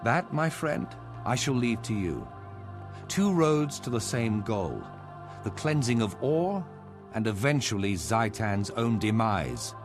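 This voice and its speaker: Deep-voiced, solemn fantasy marshal. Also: male voice, big pitch swings, full character voice. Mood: thoughtful. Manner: earnest, formal, measured. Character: solemn, scholarly male plant-folk marshal leading a war. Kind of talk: dramatic video game cutscene acting. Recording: voice over loud game music.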